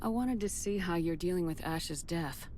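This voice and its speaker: A woman playing a resolute, caring military commander in a video game, firm, measured and direct. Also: lowish voice, warm tone, natural voice. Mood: solemn. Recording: faint background ambience noise.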